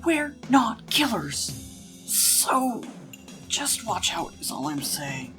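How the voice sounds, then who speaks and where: A woman's voice: medium pitch, very animated, slow, fairly bright tone, soft background music. A woman voicing a hot-tempered sixteen-year-old street brawler, a wary prisoner giving urgent, emphatic warnings in an audio drama.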